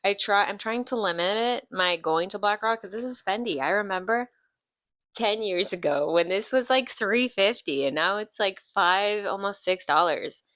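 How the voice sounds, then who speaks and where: A woman's voice: mid-range voice, expressive, normal speed, a bit bright, dry recording. A woman in her late twenties hosting a podcast, chatting casually and rambling through tangents with lots of ums. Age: 20s. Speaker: podcast host. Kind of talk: casual chat.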